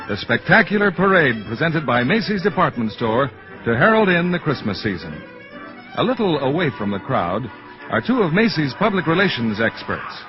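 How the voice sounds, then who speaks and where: A man's voice: medium pitch, lightly acted. A man giving formal scripted narration for a 1940s radio play. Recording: muffled 1940s radio recording with music.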